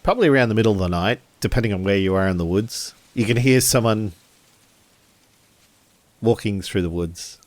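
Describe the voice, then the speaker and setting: A man with a low voice runs a tabletop roleplaying session as game master. He narrates scenes in a slightly hesitant way, assembling sentences as he goes. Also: lively intonation, moderate pace, pauses often, no room sound.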